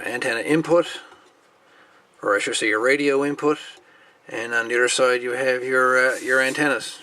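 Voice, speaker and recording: A man presents a piece of gear in a relaxed, hands-on video. He speaks in a medium-pitched voice with folksy, colloquial phrasing, as if chatting to viewers while he works. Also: vocal fry, slight background noise. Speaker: video host reviewing and repairing gear. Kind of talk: casual hands-on demonstration talk. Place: indoor workbench.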